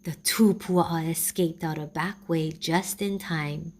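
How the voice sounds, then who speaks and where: Aunty storyteller, slow and gentle, lowish voice. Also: female voice, slight background noise. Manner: slow, gentle, even reading. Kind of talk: storybook read-aloud.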